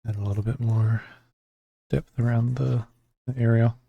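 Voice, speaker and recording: A man streaming while he draws, chatting casually with viewers and rambling between pauses. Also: mid-range voice, gravelly, dry recording, dull audio. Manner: relaxed, rambling, talks to chat between pauses. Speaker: streamer drawing live. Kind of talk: casual chat while drawing.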